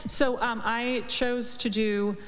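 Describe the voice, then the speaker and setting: A woman's voice: on the high side, steady tone, slow pace, a bit bright. An eager, enthusiastic woman author answering on a live panel. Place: event hall. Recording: reverberant hall, faint noise.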